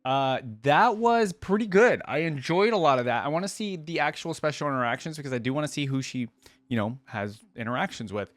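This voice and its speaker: A man's voice: fairly high pitch, animated, a little fast, no echo. A man hosting a reaction video, giving casual commentary and musing aloud as he goes. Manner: musing, thinking aloud, repeats phrases he hears.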